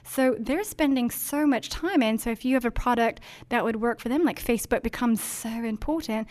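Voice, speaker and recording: Chatty, earnest woman with a higher voice. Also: moderate pace, darkish tone. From Denmark. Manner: chatty, earnest, many ums and likes. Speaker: marketing executive. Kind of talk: interview answers.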